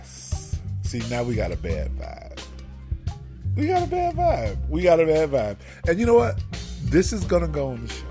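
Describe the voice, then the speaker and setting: A talkative male co-host with a lively, swinging pitch, chatting with his partner on a radio show. He lectures in easy asides while faint music plays behind. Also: normal speed. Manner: talkative, animated, preachy asides. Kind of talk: casual couple chat.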